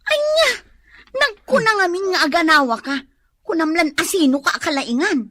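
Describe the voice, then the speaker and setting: An actress playing a sharp-tongued, scolding wife, quick to anger, in a comic Ilocano radio drama. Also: female voice, extremely high pitch, animated. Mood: indignant. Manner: scolding, querulous, blunt.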